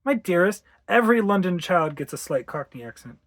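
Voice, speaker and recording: A woman's voice: fairly low pitch, big pitch swings, brisk. Woman acting a breezy, sensible husband. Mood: teasing.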